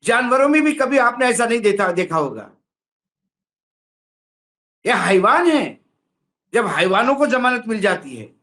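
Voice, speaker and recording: An emphatic male commentator with a high-pitched voice speaks on a news show. He sounds indignant and argues his opinions through pointed rhetorical questions. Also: big pitch swings, unhurried, pauses often, pushed and bright.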